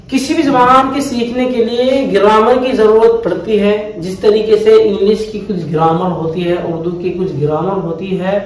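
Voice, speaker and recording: A high-voiced man teaches a step-by-step grammar lesson, going back over each definition patiently. He sounds a little away from the mic in a very echoey room, with a faint hum behind him. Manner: patient, repeats key definitions slowly. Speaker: Arabic teacher. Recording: echoey room heard from a distance.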